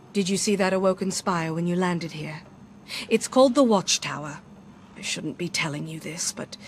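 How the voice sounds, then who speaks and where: A woman's voice: fairly low pitch, a little hiss or hum, lightly acted. A woman playing a resolute, secretive ally in a video game, speaking seriously and with purpose. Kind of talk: dramatic video game character acting.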